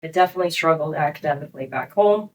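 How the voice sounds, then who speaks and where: A young adult woman from Hong Kong, speaking as a guest on a business podcast interview, reflective and unhurried, laughing often. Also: sits low, a little mellow. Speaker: clinic co-founder, guest.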